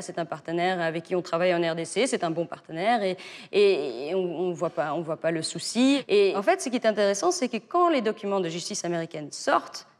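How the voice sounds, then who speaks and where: Hesitant woman expert, echoey room. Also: lowish voice. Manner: hesitant, many fillers and restarts. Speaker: interviewed expert. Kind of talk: explanatory interview answers.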